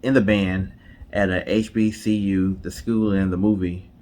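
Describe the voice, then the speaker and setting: A man's voice: deep voice, little pitch movement, unhurried, a little mellow. A man co-hosting a storytime show in casual couple chat, colloquial, often repeating himself and saying "you know".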